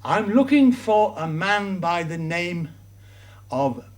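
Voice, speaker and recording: A man with a light, raised voice that swings up and down a good deal. He talks in an unhurried, careful way, polite and measured, as a guest in a comedy series. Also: high-pitched, very animated. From Wales. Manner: polite, slow and deliberate. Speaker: visiting foreigner.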